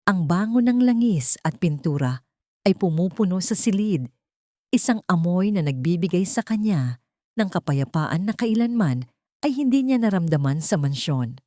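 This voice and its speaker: A woman's voice: fairly low pitch, dark tone, no echo, studio quality. A woman narrating a scripted romantic drama as a storyteller, in a dramatic style.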